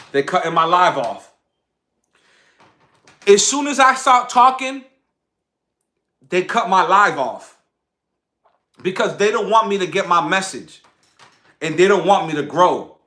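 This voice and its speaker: A man's voice: high voice, expressive, a little slow, long pauses, sharp tone. A man giving an impassioned, boastful livestream monologue, speaking emphatically and repeating phrases for effect. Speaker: social media content creator on a livestream.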